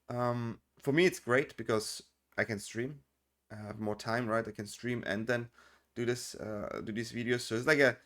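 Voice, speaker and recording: A man with an accent that is hard to place, casually talking through a card game video as its host, loose and full of fillers. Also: mid-range voice. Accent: unplaced non-native accent. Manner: chatty, loose, with many fillers and restarts. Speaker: content creator and streamer. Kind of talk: casual gameplay commentary.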